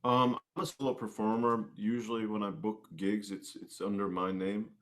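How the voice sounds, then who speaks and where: A man with a low-pitched voice chats casually as a guest in an interview. He speaks hesitantly, often doubling back to repeat or correct a phrase. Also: level tone, moderate pace, fairly bright tone. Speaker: guest, singer-songwriter and musician.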